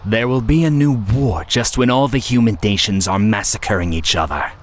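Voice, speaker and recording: An actor playing a scheming, taunting villain who craves chaos, speaking theatrically and condescendingly in a video game. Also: male voice, on the low side, moderate pace, natural voice. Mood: grandiose scheming. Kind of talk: dramatic video game voice acting.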